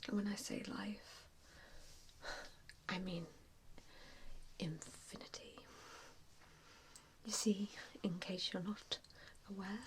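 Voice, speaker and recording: A woman whispering an ASMR roleplay as a gentle vampire mentor, with a hesitant, reassuring and softly spoken manner. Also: medium pitch, fairly even intonation, slow pace, long pauses, slight background noise. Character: gentle, self-doubting female vampire mentor to new recruits.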